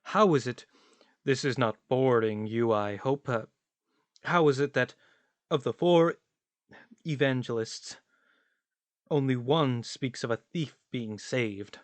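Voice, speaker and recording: A man's voice: mid-range voice, slow pace, long pauses, dry recording, close to an everyday voice. A man playing a brooding, pedantic tramp in a radio play, emphatic and ponderous, insisting on his points with some exasperation. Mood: pondering.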